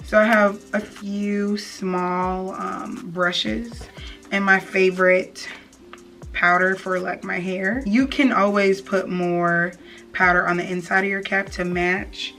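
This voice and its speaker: A woman's voice: medium pitch, fairly bright tone. Young woman vlogger chatting over music. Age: young adult. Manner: informal, slangy, addresses viewers directly. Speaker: beauty vlogger. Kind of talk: casual chatty tutorial. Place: small indoor room with plain wall. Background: background music.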